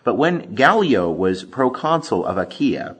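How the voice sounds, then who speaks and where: Expressive male scripture reader, slightly husky. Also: medium pitch, a little slow. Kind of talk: scripted audiobook narration of scripture.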